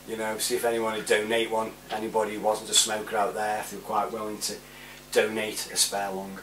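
A British man in his forties chatting casually to camera in a laid-back, rambling way, giving shout-outs. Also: on the low side. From United Kingdom. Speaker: vlogger. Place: small room indoors at home. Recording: roomy, distant mic with faint hum.

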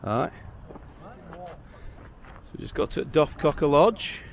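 A man's voice: on the high side, animated, takes it slowly, vocal fry. A man, chatting cheerfully as he films a motorbike meet-up and cook-out outdoors. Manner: chatty and cheerful, laughs often. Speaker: vlogger on a motorbike trip. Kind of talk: casual outdoor vlog narration. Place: outdoors at a lodge among parked motorbikes. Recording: noisy outdoor camera audio. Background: outdoor ambience with vehicles.

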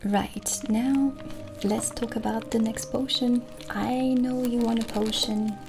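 A woman in her thirties playing a kindly witch shopkeeper in a gentle, soothing, soft-spoken ASMR roleplay. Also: on the high side, steady tone, slow pace. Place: small candlelit indoor set. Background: soft background music.

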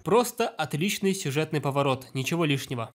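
A man's voice: on the high side, expressive, fairly quick. A man narrating a film review, enthusiastic and analytical, on a voice-over mic. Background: film music.

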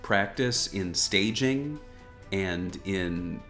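A man's voice: low voice, drawn-out words, a bit bright. A man hosting a gaming news show, giving casual, wryly joking commentary on a video game trailer. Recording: music behind the voice. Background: background music.

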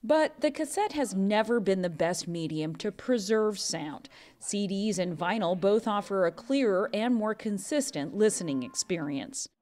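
A woman's voice: mid-range voice, expressive, measured pace, darkish tone. A woman with an American accent reading scripted narration as a news correspondent. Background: background music.

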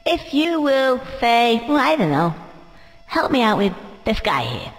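A man's voice: very high-pitched, very animated, unhurried, fairly bright tone, big-room sound, full character voice. A man voicing a cheeky young cartoon squirrel in a video game, muttering offhandedly and hesitantly. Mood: hesitant, pleading.

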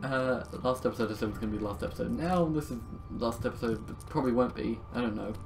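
A man's voice: medium pitch, fast, creaky, far from the mic. A young adult man giving casual co-op gameplay commentary, with mock-insulting, sweary banter. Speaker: gaming video host. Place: indoor room at a desk. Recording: noisy room, keyboard clatter.

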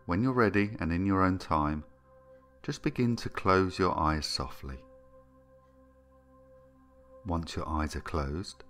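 A man narrating a guided meditation in a soothing, gentle and unhurried manner, leaving long pauses between phrases. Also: sits low. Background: background music.

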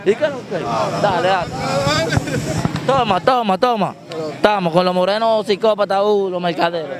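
A man's voice: high voice, normal speed, over a noisy crowd. A young man hosting a joking street interview on a busy city street, holding a handheld mic and teasing people for answers. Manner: brash, teasing, pushes for answers. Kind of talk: joking street vox pop.

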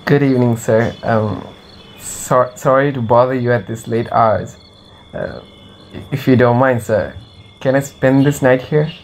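Radio drama role: brooding, cynical vagabond peddler, acted by a man, unhurried delivery. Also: mid-range voice, natural voice. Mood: timid, polite. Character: ragged, hungry vagabond rat trap seller, brooding cynic. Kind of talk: amateur radio drama acting.

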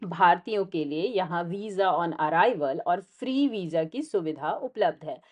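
A woman's voice: lowish voice, a little mellow, lo-fi sound. Indian woman narrating a travelogue. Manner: explanatory, addressing the viewer directly.

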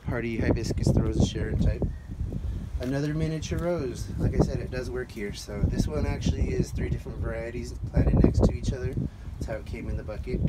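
A man giving a casual, unscripted garden-tour narration as he walks outdoors, recorded on a handheld phone. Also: mid-range voice. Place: outdoors in a residential front yard, walking. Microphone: handheld phone held by the speaker. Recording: noisy outdoor phone recording, dogs around.

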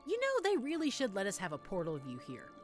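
A woman's voice: mid-range voice, wildly swooping pitch, fast talker, faint music behind. A woman playing a chatty, flustered young witch-in-training shopkeeper in an audio roleplay, with a theatrical, rambling manner. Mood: anxious, muttering. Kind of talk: scripted audio roleplay, addressed to the listener.

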